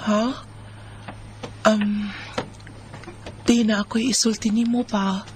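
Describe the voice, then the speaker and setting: A woman's voice: mid-range voice, flat delivery, takes it slowly, a little hiss or hum, lightly acted. A woman playing a wounded, bitter young woman in a radio drama, speaking in a plaintive, emotional way over music. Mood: casual, hesitant. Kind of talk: melodramatic radio drama acting. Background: faint music.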